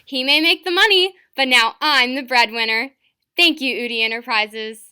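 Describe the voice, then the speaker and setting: A woman's voice: high voice, moderate pace, pushed and bright, smooth. A woman playing a chirpy, put-upon housewife in a retro radio commercial, with exaggerated delivery. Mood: delighted. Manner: perky, exaggerated.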